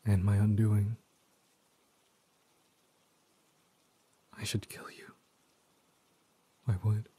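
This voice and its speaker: A man performs a tormented villain spirit speaking through a possessed body in an audio roleplay. The voice is dark and mellow with a breathy husk. He speaks slowly and theatrically, with deliberate menace, close and dry. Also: on the low side, no echo, heavily acted. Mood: bitter, haunted. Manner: theatrical, slow and deliberate, dropping into whispers. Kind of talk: scripted dramatic audio roleplay monologue.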